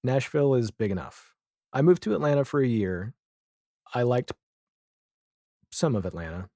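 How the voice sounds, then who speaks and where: A man's voice: mid-range voice, big pitch swings, moderate pace, warm tone, dry recording, clean studio sound. A man speaking casually and reflectively as a guest in a conversational podcast interview.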